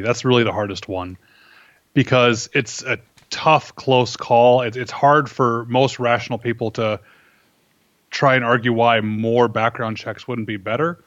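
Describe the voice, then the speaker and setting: A man speaking as an interview guest, laying out arguments in a measured, conversational way and checking his points with rhetorical questions. The recording is close and dry, but slightly dull and lo-fi. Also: medium pitch, a bit bright, no echo. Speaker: interview guest, firearms instructor and writer. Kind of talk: conversational interview, explaining opinions.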